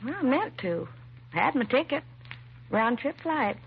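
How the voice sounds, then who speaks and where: Nervous young american secretary, highish voice, hesitant, muffled old radio drama sound. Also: female voice, sing-song, unhurried, exaggerated voice. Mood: earnest, hesitant. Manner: hesitant, nervous, apologetic, trailing and restarting. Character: timid, self-doubting young secretary reporting her boss missing. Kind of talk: 1950s radio drama acting. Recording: muffled vintage radio recording, faint hiss.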